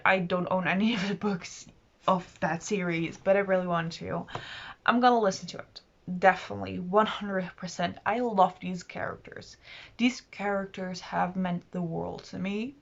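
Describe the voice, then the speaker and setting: A woman's voice: mid-range voice, lo-fi sound. A woman talking casually as a book vlogger, in an enthusiastic, rambling and self-deprecating way. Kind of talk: casual chatty monologue to camera.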